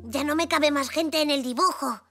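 An actor voicing a curious, eager five-year-old boy zebra in a children's cartoon, with a bright childlike voice and expressive delivery. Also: high-pitched, normal speed, music in the background. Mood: thoughtful.

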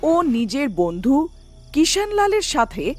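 Female cartoon narrator, scripted storytelling, unhurried and animated, faint noise behind. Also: sits high.

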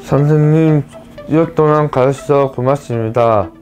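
Halting young man, mellow tone. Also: a little high, faint music behind. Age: 20s. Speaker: ceramics student.

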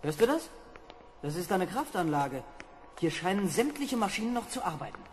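A wry, alert space explorer in an old radio drama. His voice is lively and somewhat high, dry in humour, set in a noisy, reverberant hall. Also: moderate pace. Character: alert, wry male astronaut. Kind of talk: 1970s German radio play acting. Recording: reverberant hall with background noise.

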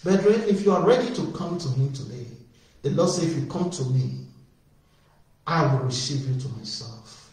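A man preaching with fervent, repetitive calls that swell with emphasis. His slightly high voice rings through a heavily echoing space, heard from some distance off the mic. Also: lively intonation, moderate pace, a little mellow. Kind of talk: evangelistic sermon.

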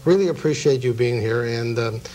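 A man's voice: mid-range voice, moderate pace, warm tone, a little hiss or hum, poor audio. A middle-aged man, hosting a local cable talk show in a studio. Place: small TV studio at a table.

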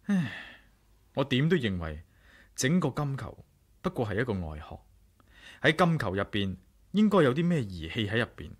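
An actor with a Hong Kong Cantonese accent playing a level-headed, inquisitive adventurer in a 1980s radio drama. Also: male voice, medium pitch, sing-song, normal speed, pauses often, breathy edge. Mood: puzzled, frustrated.

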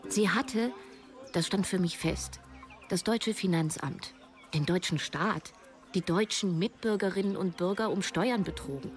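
A woman's voice: fairly low pitch, unhurried, soft background music, close to an everyday voice. An actress playing a wry, brooding writer in her thirties, narrating a radio drama in the first person as if thinking aloud. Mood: indignant. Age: 30s. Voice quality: creaky.

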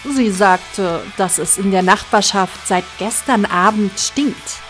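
A woman's voice: mid-range voice, slow pace, faint music behind. A woman playing an easygoing, dryly teasing police deputy in an amateur audio drama. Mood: wry, informative. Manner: dry, gently teasing. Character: wry, easygoing female police station deputy.

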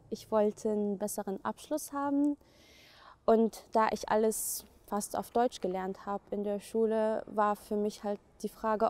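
Casting: young Egyptian-born student, Arabic native speaker, mellow earnest talk with fillers. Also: female voice, fairly high pitch. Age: young adult. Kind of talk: interview monologue.

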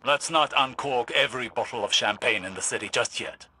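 A man's voice: mid-range voice, a little fast, very noisy, natural voice. A man playing a stern, brooding older scholar-warlock in a video game, with a dry, clipped, serious delivery. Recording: music behind the voice.

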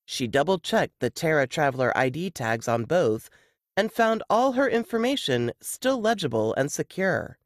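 A man's voice: on the high side, big pitch swings, moderate pace, no room sound, studio quality. A man reading scripted suspense fiction as an audiobook narrator.

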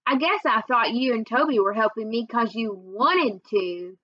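A sad, folksy Southern woman from a children's book, as a woman reader puts her on. She sounds worried and wistful, with a highish voice. The sound is dry and a bit dull. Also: animated, a little slow, no room sound. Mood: hurt.

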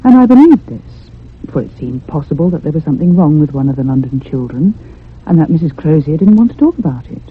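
A woman's voice: sits low, very animated, fast talker, a little hiss or hum. A woman playing a troubled, earnest heroine in a radio horror drama, with intense, literary delivery. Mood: tense, brooding. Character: troubled, earnest woman haunted by a child ghost. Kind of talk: first-person dramatic radio horror narration. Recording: muffled, phone-like old radio recording.

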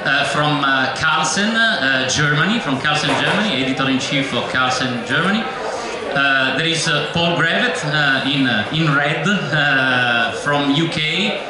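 Hesitant Italian-accented moderator in an echoey hall. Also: male voice, fairly high pitch, a little slow. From Italy. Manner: hesitant, many filler "uh"s. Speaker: panel moderator. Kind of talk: live panel introduction. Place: large festival hall, on stage. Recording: echoey hall from far off with crowd.

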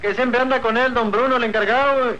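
High-pitched excitable rustic sidekick. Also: male voice, brisk. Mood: scolding. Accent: northern Mexican. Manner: folksy, drawling rustic talk. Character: excitable, timid, wily rancher sidekick. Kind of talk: old radio serial acting. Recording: dull, noisy old radio recording.

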